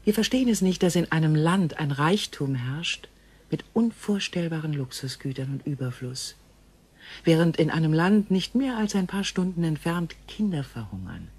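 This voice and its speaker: Woman playing a solemn, husky female alien visitor. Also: low voice, animated, darkish tone. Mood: sorrowful, solemn. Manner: formal, measured, solemn phrasing. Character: grave, gentle female alien visitor from another star. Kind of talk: science fiction radio play acting.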